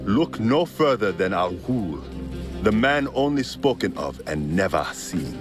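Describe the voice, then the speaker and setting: An actor playing a proud, stubborn rebel leader in a video game, wry and blunt. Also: male voice, low voice, very animated, a little slow, over music. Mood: grave. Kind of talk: video game dramatic acting.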